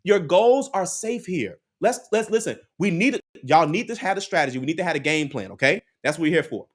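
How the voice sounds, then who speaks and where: A man's voice: a little high, lively intonation, brisk. A man hosting a live credit advice stream, preaching to his viewers in a repetitive, motivational way and reacting to the chat with laughs. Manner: preachy, repeats key lines, laughs often, talks to the chat. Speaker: credit advice livestream host.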